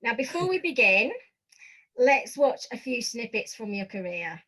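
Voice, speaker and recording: A woman's voice: fairly high pitch, very animated, unhurried, sharp tone, rough recording. A woman presenting an interview podcast, moving between scripted introduction and questions. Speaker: podcast host.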